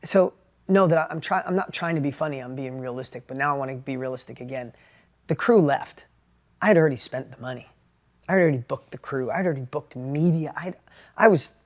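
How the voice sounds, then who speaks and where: A middle-aged man with a New York accent and a high-pitched voice, giving an animated, plainspoken motivational talk about sales. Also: quick pace. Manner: animated, plainspoken, repeats and restarts words. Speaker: entrepreneur and sales educator. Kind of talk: motivational talk with personal story.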